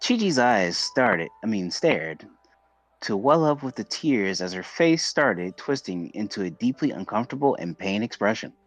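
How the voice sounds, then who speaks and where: Guy streaming a visual novel read-along, expressive pitch swings, bit of music behind him. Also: moderate pace, rough recording. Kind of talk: dramatic reading of visual novel narration.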